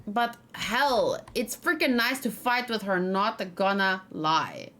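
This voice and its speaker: A woman's voice: mid-range voice, big pitch swings, takes it slowly, a bit bright. A woman streaming a let's play, giving casual, chatty commentary and musing aloud as she goes. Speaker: gaming streamer.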